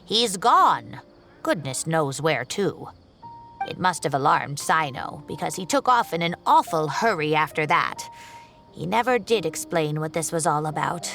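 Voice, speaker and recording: A woman voicing a genteel, worried older female professor in a video game, with gently fretful exclamations. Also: deep voice, animated, a little slow, creaky, soft background music, exaggerated voice. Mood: concerned, recounting.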